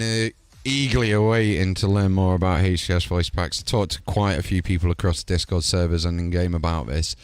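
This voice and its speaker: A man's voice: on the low side, fairly bright tone, clear, resonant tone. A man hosting a product showcase, hyped and rambling, with filler words. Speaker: host.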